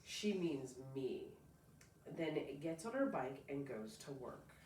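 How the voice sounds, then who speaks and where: A woman's voice: rumbling low, slow pace. A woman reads a children's picture book aloud expressively, stopping now and then for casual comments of her own. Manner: expressive, stops to comment informally. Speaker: storybook reader. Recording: roomy, distant mic, faint background noise.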